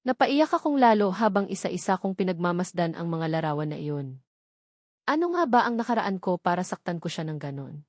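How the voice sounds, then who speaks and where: A woman's voice: on the low side, big pitch swings, a little fast, a little mellow, no room sound, studio quality. A Filipino woman reading a first-person romance novel aloud as a dramatic, sorrowful narrator.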